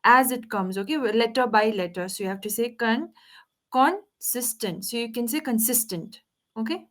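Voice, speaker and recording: A woman's voice: on the high side, normal speed. A woman tutoring English on a video call, patiently correcting pronunciation and asking the learner to repeat words. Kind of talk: online one-on-one English lesson.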